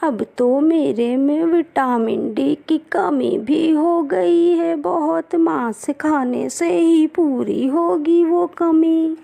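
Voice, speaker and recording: A woman voicing a scheming old female eagle in a cartoon story, creaky, with slow, drawn-out pleading. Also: high voice, warm tone, heavily acted. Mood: self-pitying. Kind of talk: cartoon story character acting.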